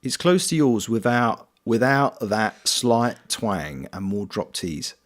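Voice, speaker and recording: A man's voice: lowish voice, sing-song, takes it slowly. A British man streaming a game, chatting casually and reading viewers' comments aloud, greeting them by name. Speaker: streamer and voice actor.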